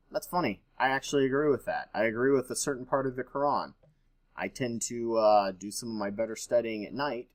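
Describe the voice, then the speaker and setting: A man hosts an informal read-along, switching between reading text aloud and commenting on it. His voice sits at an average male pitch. He comes across as skeptical, with a dry streak of sarcasm. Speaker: host.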